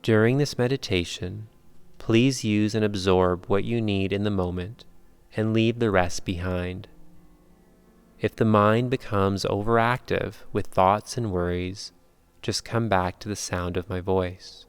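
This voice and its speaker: A man leading a guided yoga nidra meditation in calm, measured, unhurried instructions with long pauses. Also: fairly low pitch, clean tone.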